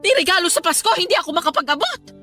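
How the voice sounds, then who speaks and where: A woman's voice: very high voice, very animated, very fast, bright, forceful tone, full character voice. A woman with a Filipino accent playing a bitter, long-suffering middle-aged mother in a dramatic, grudging way for a Tagalog radio drama. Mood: aggrieved. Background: music.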